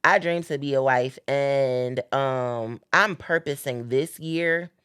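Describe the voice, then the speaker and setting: Podcast host, woman, very deep voice, earnest preacherly cadence, dry close sound. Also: takes it slowly, a bit bright, clean tone, dry recording. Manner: earnest, preacherly, repeats phrases for emphasis. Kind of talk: casual faith-based chat.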